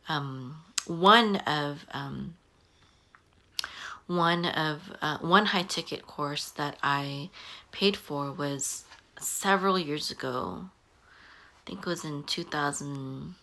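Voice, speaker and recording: A woman in her forties talks through her own experience in a loose, unscripted way, filling the gaps with ums and restarting phrases. Her voice sits low yet carries a bright, forward edge. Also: gravelly. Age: 40s. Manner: halting, many ums and restarts. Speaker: online business coach. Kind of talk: casual talking-head advice.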